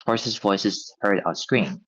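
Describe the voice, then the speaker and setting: A man's voice: lowish voice, moderate pace, husky, dry recording. A man reading script directions aloud at a table read, on a slightly dull recording. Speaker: stage-direction reader.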